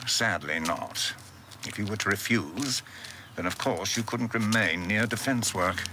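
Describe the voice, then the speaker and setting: Low, grave official. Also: male voice, a little slow, noisy background. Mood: grave, resigned. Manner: grave, formal, resigned. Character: regretful, formal male defense official breaking bad news. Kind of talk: radio drama dialogue.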